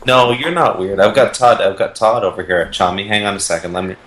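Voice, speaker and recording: A man speaking casually as a guest on a podcast, in relaxed conversational talk. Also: fairly low pitch, brisk. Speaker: podcast guest, audio drama maker. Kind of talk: casual chat.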